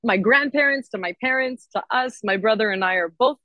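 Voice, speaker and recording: A woman's voice: a little high, fairly quick, no echo, muffled recording. A woman singer-songwriter chatting casually and enthusiastically as an interview guest. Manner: enthusiastic, expressive.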